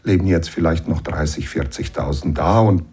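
A man in his sixties from the Banat in western Romania, explaining and recollecting as an interview guest in a measured way, often adding a short tag to his sentences. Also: very deep voice, a little mellow, husky. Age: 60s.

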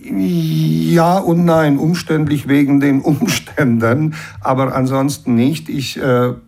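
A man in his sixties from the Banat in western Romania, explaining and recollecting as an interview guest in a measured way, often adding a short tag to his sentences. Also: a little high, fairly even intonation, gravelly, a little mellow. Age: 60s.

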